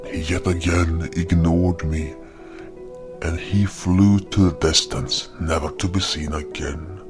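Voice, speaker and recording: Man voicing a vengeful beast hunter, very low voice, solemn slow delivery, dramatic storytelling, music behind. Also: fairly even intonation. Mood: shocked, sorrowful. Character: brooding, wounded, vengeful male beast hunter with paws. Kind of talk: dramatic first-person story narration.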